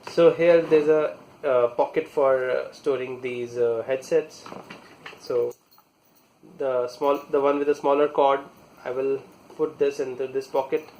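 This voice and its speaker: A man gives a casual hands-on demonstration as a product reviewer. His pace is slow and halting, with pauses mid-sentence while he works. His voice is medium in pitch, with noise audible behind it. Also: fairly even intonation, darkish tone, low-quality recording. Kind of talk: casual hands-on tutorial talk.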